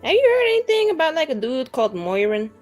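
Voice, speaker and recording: Woman playing a blunt, nosy, excitable flirt, lively voice. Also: high-pitched, fast talker, faint music behind, voice pushed up. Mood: awkward, coaxing. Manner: rambling, slangy, filler-heavy teasing with dramatic gasps. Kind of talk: improvised tabletop roleplay dialogue.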